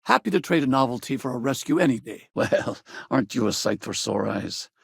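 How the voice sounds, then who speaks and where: A man playing a seasoned, middle-aged spaceship pilot in a video game, wry, earnest and good-natured, with a gravelly, husky voice. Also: medium pitch, expressive, brisk, no room sound, studio quality, heavily acted. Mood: wry, friendly. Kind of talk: video game companion voice acting.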